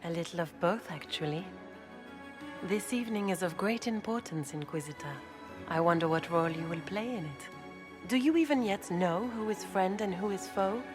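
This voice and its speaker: An actress playing a scheming aristocratic grand duchess in a video game, with a French accent and formal, measured, insinuating speech. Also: female voice, lowish voice, music in the background, lightly acted. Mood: guarded, insinuating. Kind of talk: video game character acting.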